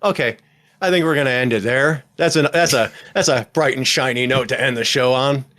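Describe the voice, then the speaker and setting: A man's voice: medium pitch, brisk, pushed and bright. A man hosting a podcast in casual chat, rambling and self-deprecating, with many restarts. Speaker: podcast host.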